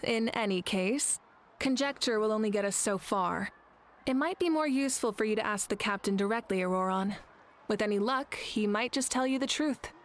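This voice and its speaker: A woman playing a composed, gracious young female leader in a video game, speaking in a measured, articulate way. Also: medium pitch, a little hiss or hum, lightly acted. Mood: thoughtful, teasing.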